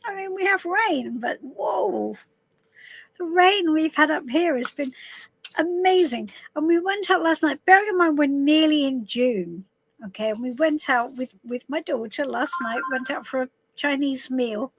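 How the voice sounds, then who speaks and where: A middle-aged woman from southwest London, her voice a little husky, chatting casually to viewers on a live stream from inside a camper van, calling people darling. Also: high voice, expressive, unhurried, a little hiss or hum, rough recording. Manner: chatty, warm greetings to viewers, calls people darling and love. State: a bit husky voice. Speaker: tarot reader hosting a live stream.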